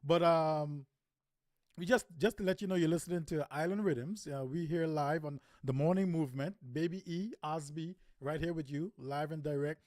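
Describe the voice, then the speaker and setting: A man's voice: a little high, moderate pace, a little mellow, dry recording, studio quality. A man hosting a live morning radio show, chatting loosely with many ums and you-knows as he asks his co-host questions. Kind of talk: casual radio chat. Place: radio studio.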